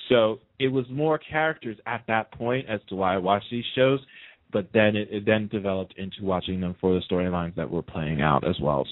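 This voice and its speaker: A man with a fairly low voice talks over a narrow, tinny phone line. He is chatty and casual, telling stories like a guest on a radio interview. The sound is dry, with no room around it. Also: no room sound.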